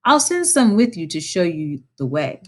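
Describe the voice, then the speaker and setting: An authoritative storybook badger, acted out by a woman, master of his house. He is firm and hospitable, speaking deliberately with very animated swings of pitch. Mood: kindly, hospitable.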